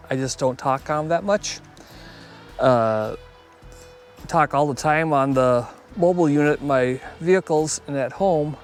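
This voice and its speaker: A man talking casually to viewers outdoors on a hike, in a plainspoken, conversational way. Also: medium pitch. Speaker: YouTube host. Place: outdoors on a hike along a river.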